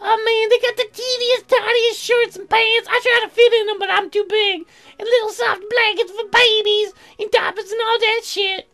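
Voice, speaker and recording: A man voicing a crude, silly, excitable, childlike woman in a comic visual novel, rambling in a dim-witted way. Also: very high-pitched, normal speed, soft background music, pitched above the performer's own voice, full character voice. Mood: goofy, excitable.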